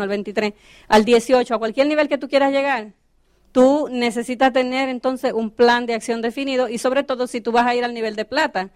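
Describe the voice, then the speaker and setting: A woman's voice: fairly high pitch, dry recording, studio quality. A woman giving a motivational business training talk, often checking in with her audience. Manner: coaching, asks the audience questions.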